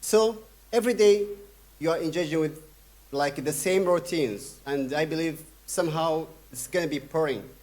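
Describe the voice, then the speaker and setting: Man giving a halting keynote, fairly high voice. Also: a little mellow. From Sudan. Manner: halting, many fillers and restarts. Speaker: conference speaker. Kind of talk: conference keynote talk.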